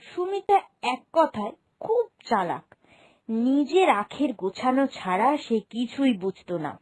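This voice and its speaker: A woman's voice: high-pitched, sing-song, a little slow, a little mellow. A woman storyteller reading scripted narration for a cartoon moral tale.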